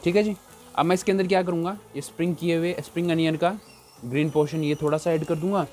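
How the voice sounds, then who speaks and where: A man's voice: a little high, fairly even intonation, quick pace, darkish tone. A man talking through a recipe, chatty and repeating himself as he shows each step. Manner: chatty, repeats "look here" often. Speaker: cooking show chef. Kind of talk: casual cooking demonstration.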